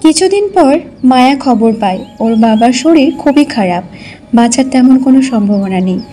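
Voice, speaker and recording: Woman storyteller, very high mellow voice, scripted cartoon narration, faint music behind. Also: expressive, moderate pace.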